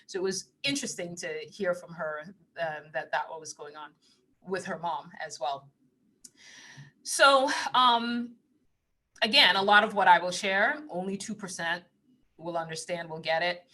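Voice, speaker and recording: Bright-voiced woman, chatty and laughing. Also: lowish voice, measured pace, poor audio. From Trinidad. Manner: rambling and chatty, laughs often, drops to hushed asides. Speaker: spiritual teacher on a live stream. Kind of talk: casual live-stream monologue.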